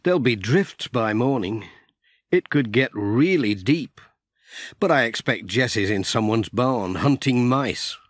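A man's voice: medium pitch, expressive, unhurried, no room sound, clean studio sound. A man voicing a kindly, concerned village postman, friendly and practical, in a children's story. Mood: reassuring.

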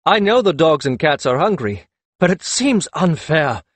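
A man's voice: on the high side, very animated, measured pace, no room sound, voice pushed up. A man voicing an earnest, fair-minded young male dragon rider who reasons things out in an audiobook. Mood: amused protest.

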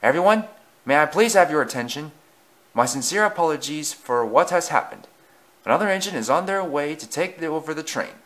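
A man's voice: fairly high pitch, lively intonation, brisk, fairly bright tone, voice pushed up. A man playing a formal, authoritative station master in a children's story, speaking firmly as if announcing. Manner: firm, public announcing.

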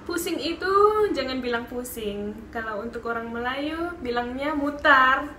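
A young woman from Singapore, a guest in a casual vlog chat, answering briefly and relaxed with frequent giggles. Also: high-pitched, unhurried, echoey room, a little hiss or hum. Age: young adult. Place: small bedroom, indoors.

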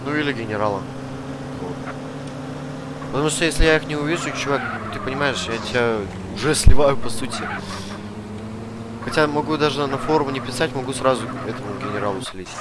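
Young male streamer, mid pitch, pushy in-character bluster, game noise behind. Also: brisk, pauses often. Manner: pushy, demanding, mock-official bluster. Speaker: gamer streamer playing a detainee in an online roleplay server. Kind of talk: in-character roleplay chat over voice chat. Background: clear noise and game car sounds.

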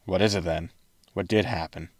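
An actor playing a pragmatic, wry, world-weary spaceship captain in a science fiction audio sitcom. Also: male voice, low-pitched, steady tone, moderate pace, no room sound, clean studio sound. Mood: wry concern.

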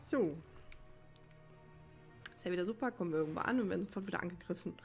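A woman's voice: fairly low pitch, fast, soft background music. A woman giving casual gameplay commentary, musing as if thinking aloud to herself. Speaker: let's play gamer.

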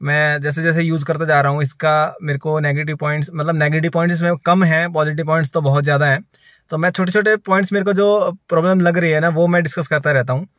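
A man's voice: a little high, fairly even intonation, fast talker, a bit bright, dry recording, clean studio sound. A man in his thirties from India, talking casually into a phone held at arm's length inside a car at night, reviewing its features. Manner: chatty, repetitive, explains while showing. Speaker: car vlogger.

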